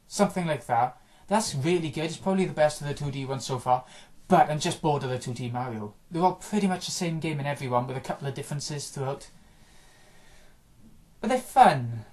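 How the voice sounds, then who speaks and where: A man chatting casually about video games, rambling and offhand, his voice a little hoarse after a day of recording. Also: medium pitch, a little mellow. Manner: rambling, offhand, frequent restarts and small chuckles. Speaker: gaming vlogger.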